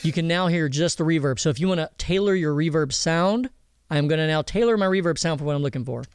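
A man giving a casual software tutorial, explaining informally and directly to viewers. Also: fairly high pitch, quick pace, clear, resonant tone, no room sound. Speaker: audio mixing instructor. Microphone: close voice-over mic over screen recording.

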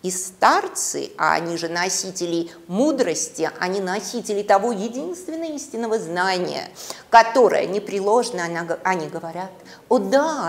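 A middle-aged woman giving a dramatic university lecture in a classroom, with theatrical, expressive storytelling and deliberate pauses. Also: fairly low pitch. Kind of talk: dramatic lecture on literature and mythology. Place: classroom, indoors.

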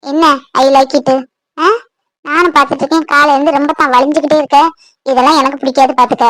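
An actress playing a bitter, tearful young bride in a serial, scolding curtly. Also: female voice, sits high, level tone, quick pace, a bit bright, dry recording. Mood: irritated. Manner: scolding, curt, emotional. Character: bitter, tearful young bride who scorns her husband. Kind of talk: Tamil TV serial acting.

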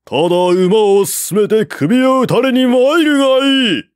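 A man's voice: very high voice, animated, a little slow, no echo, clean studio sound, voice pushed up. A man reading aloud voices a defiant male general of an ancient army, declaiming his challenge in a raised voice. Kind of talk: audiobook reading of a classical war novel.

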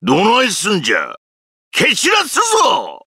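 A man playing a brash, violent fire god in a Japanese game, gravelly, roaring threats with a Kansai accent. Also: high voice, wildly swooping pitch, a little fast, sharp tone, no echo, studio quality, exaggerated voice. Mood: gleeful menace. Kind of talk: theatrical Japanese game villain lines.

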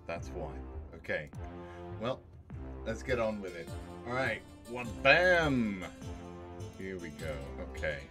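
Hoarse low-voiced man streaming a game. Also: slow, pauses often, music in the background. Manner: relaxed, rambling, thinking aloud. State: sore throat, voice lower than normal. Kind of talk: casual game commentary. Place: small home room with foam panels. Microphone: headset with desk mic.

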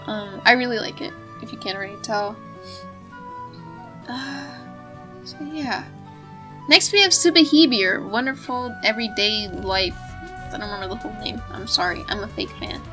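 A woman's voice: mid-range voice, normal speed, pauses often, music in the background. A woman talking off the cuff in a casual, rambling, giggly way with some swearing, like a video creator giving her opinions. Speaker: video creator reviewing visual novels. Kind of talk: unscripted casual chat.

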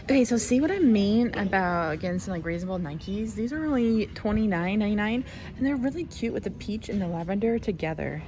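A woman's voice: mid-range voice, animated, brisk, dark tone, a little hiss or hum. A woman with an American accent giving casual, informal shop-with-me narration in a chatty way. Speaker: shopping vlogger.

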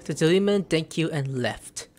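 A man's voice: on the high side, animated, fairly quick, a little mellow. A young man hosting a gaming video, giving casual gameplay commentary from a small room. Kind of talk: casual gameplay commentary, reading dialogue choices aloud. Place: small room indoors at a computer.

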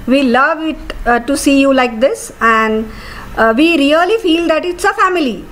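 A woman hosts a video call in a high voice, chatting casually and laughing often. Faint room noise sits behind her. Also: animated, normal speed, rough recording. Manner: laughs often, warm and appreciative. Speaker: webinar host. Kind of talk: casual chat on a webinar.

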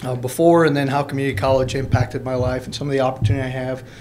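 A man in his thirties speaks informally into a podium mic in a meeting room. His pitch is average and stays quite level. He talks plainly and haltingly as he shares a personal account, with noticeable room noise behind him. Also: little pitch movement, a little mellow. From born in Mexico, grew up in Peoria, Illinois. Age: 30s. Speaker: college alumnus guest speaker. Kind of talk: personal story told at a podium. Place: indoor college meeting room. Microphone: podium gooseneck microphone.

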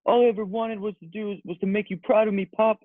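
A tinny, phone-like and very dry call carries a man playing a vain, slow-witted male model. The voice is on the high side, earnest, in a comedy table read. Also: fairly even intonation, dry recording. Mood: earnest, wounded. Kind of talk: amateur table read over video call. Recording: thin phone-like video-call audio.

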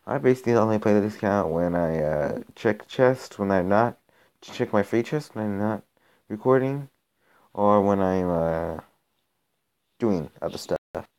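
A young man hosting a mobile game channel, his voice a bit raspy from being sick, rambling and cutting himself off as he comments on his matches. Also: lowish voice, unhurried, long pauses, a little mellow. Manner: rambling, self-interrupting, gets exasperated during play. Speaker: gaming channel host. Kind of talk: casual gameplay commentary.

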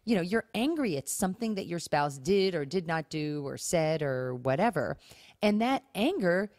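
A woman's voice: lowish voice, lively intonation, normal speed, darkish tone, no room sound, studio quality. A woman co-hosting a podcast, talking casually and earnestly, with emphatic points and rhetorical questions. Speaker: podcast co-host. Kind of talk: casual conversational talk on marriage and faith.

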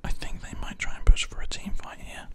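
A person whispering ASMR commentary over video gameplay, close to a binaural microphone. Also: a little fast. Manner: whispering throughout. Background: keyboard typing and mouse clicks.

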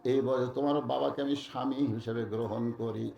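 Man, sermon orator: theatrical, half-chanted delivery with hushed drops and big cries, creaky voice, heavy echo. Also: mid-range voice, fairly even intonation, normal speed, highs cut off, a little hiss or hum. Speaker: Islamic preacher. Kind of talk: Bengali waz sermon, dramatic storytelling with chanted phrases. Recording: echoey sound system.

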